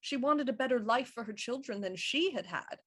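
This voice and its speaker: Self-assured lady inspector, high-voiced. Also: lively intonation, moderate pace, a bit bright, breathy edge. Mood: confident deduction. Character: shrewd, self-assured female Victorian police inspector explaining a murder. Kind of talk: audio drama murder mystery acting.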